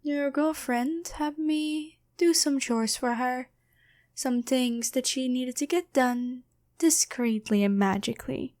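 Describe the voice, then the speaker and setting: A woman playing a sly, teasing female demon posing as a girlfriend in an intimate, deliberate ASMR roleplay. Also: high-pitched, darkish tone. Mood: smug, menacing. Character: taunting female demon possessing and impersonating someone's girlfriend. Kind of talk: ASMR roleplay, addressed to the listener.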